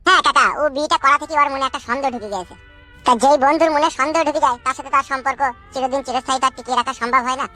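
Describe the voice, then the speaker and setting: A man's voice: very high-pitched, fairly even intonation, rapid-fire, bright, forceful tone, soft background music. A man voicing a polite, aggrieved young village man in a comedy cartoon. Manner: deferential, explanatory.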